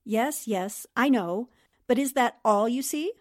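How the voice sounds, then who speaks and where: A woman playing a confident, sharp-witted newspaperwoman sleuth in 1940s radio drama style, brisk and knowing. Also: a little high, expressive. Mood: excited, knowing.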